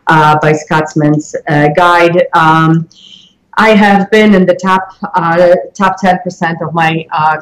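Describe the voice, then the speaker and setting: A woman in her forties with a Polish accent, giving reflective interview answers in halting, deliberate phrases. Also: fairly low pitch, poor audio. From Poland. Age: 40s. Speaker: interview guest, mortgage loan originator.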